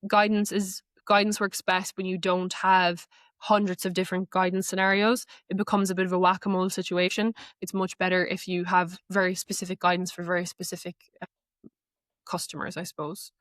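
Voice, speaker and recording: Woman talking through software, dry close sound. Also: fairly low pitch, flat delivery, brisk, no room sound. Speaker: guest, AI knowledge manager. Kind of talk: conversational software walkthrough.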